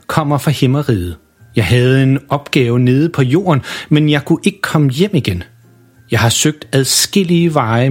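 Brief: solemn, formal angel girl, played by a male narrator, slow and lifted, a touch husky. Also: mid-range voice, a little mellow. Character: gentle otherworldly angel girl from heaven.

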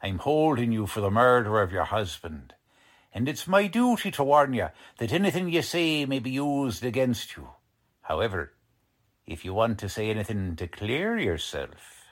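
An actor playing a gruff, sarcastic, tough police lieutenant with an American accent in 1940s radio style, blunt and slangy. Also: male voice, mid-range voice, sing-song, moderate pace. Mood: sarcastic, bullying.

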